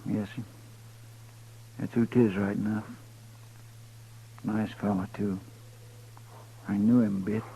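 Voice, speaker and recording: An actor playing a loyal, deferential deputy with a rural Western American drawl in a 1950s radio drama. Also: male voice, fairly low pitch, flat delivery, slow pace, vocal fry, pauses often, dark tone. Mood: subdued, respectful. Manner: folksy, deferential. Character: folksy, loyal male deputy, deferential and plain-spoken. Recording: muffled, noisy old radio recording.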